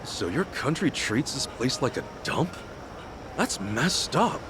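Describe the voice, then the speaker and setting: An actor playing an earnest, hot-headed, good-hearted middle-aged hero in an English-dubbed video game, expressive and informal. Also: male voice, medium pitch, takes it slowly, background noise. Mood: kindly. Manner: expressive, informal, exclaiming, swearing.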